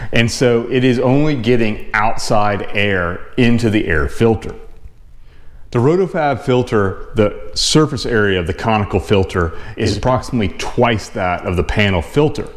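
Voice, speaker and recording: A man in his forties, a car channel host, explaining test results to camera in a measured, technical way from his home garage. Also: lowish voice. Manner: measured, technical, plain-spoken.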